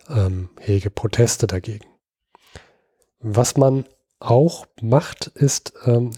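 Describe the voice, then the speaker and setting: A man from Berlin co-hosting a history podcast, explaining at length and halting often with fillers. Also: on the low side, warm tone, dry recording, clean studio sound. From Berlin, Germany. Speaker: podcast co-host. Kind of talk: casual history podcast chat.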